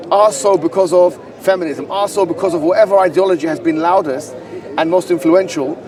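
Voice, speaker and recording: Lecturing London man in outdoor debate. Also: high-pitched. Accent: London English. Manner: argumentative, lecturing, quick and insistent. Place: outdoor public park with crowd. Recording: distant street recording with crowd around.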